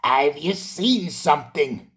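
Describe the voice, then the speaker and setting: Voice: mid-range voice, expressive, takes it slowly, fairly bright tone. A storyteller voicing a timid little male engine who speaks in a shaky, stuttering voice. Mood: frightened.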